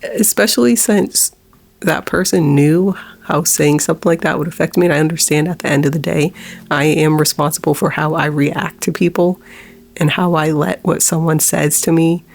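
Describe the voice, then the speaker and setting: Low, gravelly woman holding back tears. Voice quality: low, monotone voice. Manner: halting, with nervous laughs. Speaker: vlogger. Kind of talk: personal confessional vlog.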